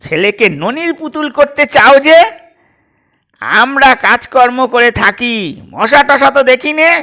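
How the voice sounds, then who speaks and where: A man's voice: very high-pitched, animated, slow pace, bright, forceful tone, voice pushed up, heavily acted. A man playing a stern, sarcastic father in a comic audio story, scolding with emphatic questions. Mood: insistent. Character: stern, blustering, sarcastic father of a grown son.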